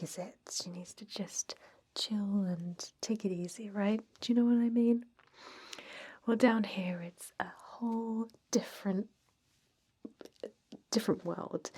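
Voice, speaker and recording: A woman acts out a female vampire staffer in a whispered ASMR roleplay. She is gossipy, informal and laid-back, chatting confidingly with hesitant ums. The voice is soft, breathy and mellow, at a relaxed, slow pace. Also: medium pitch. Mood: gossipy, dismissive.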